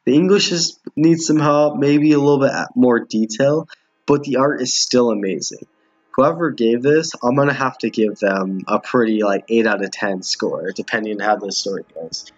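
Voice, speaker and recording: A man with a husky, mid-pitched voice gives casual commentary on a game he is streaming. He reads text aloud, stumbling and doubling back at times. The recording is dull and a bit roomy. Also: normal speed, faint music behind. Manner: stumbles while reading, repeats lines. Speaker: streamer playing a visual novel with viewers. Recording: muffled, roomy home recording.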